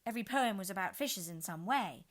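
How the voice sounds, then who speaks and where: Woman doing a polite little girl, stammery and nervous, pitch jumping around. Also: lively intonation, a little fast, close to an everyday voice. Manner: hesitant, stammering.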